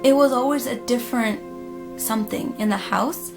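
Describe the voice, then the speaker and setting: High-voiced woman telling a story over music. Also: moderate pace. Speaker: storytime vlogger. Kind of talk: casual personal storytelling of a spooky experience. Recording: music playing behind the voice. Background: background music.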